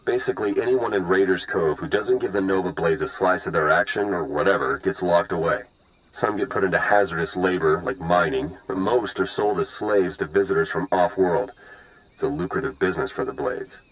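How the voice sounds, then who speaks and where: A wry male spy from a video game, played by an actor, with a deep voice. He talks casually and matter-of-factly, in short clipped phrases. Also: fairly quick, natural voice, rough recording. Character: laid-back, wry male spy ally.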